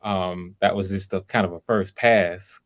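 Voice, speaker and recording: A man's voice: deep voice, fairly even intonation, unhurried. A man hosting voice auditions on a livestream, talking casually in an informal, encouraging way and often repeating phrases. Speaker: host running voice auditions.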